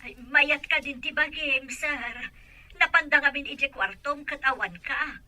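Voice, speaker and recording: An actress playing a nagging, outspoken matron in a radio drama. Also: female voice, sits high, measured pace, sharp tone, exaggerated voice. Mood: scolding, nagging.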